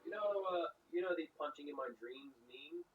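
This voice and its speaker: A man with a sore voice reacting excitedly on a casual stream, swearing and bursting into shouts. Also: a little high, normal speed, soft background music. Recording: distant muffled stream mic with faint ambience.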